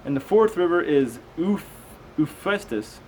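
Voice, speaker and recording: A man with a fairly high-pitched voice reads old text aloud in a halting way, tripping on hard words. He mixes in casual, conversational asides. The amateur recording carries faint background noise. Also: lively intonation, a little mellow, poor audio. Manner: halting reader, stumbles over unfamiliar words. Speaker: amateur host reading aloud and commenting. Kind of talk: reading scripture aloud with casual commentary.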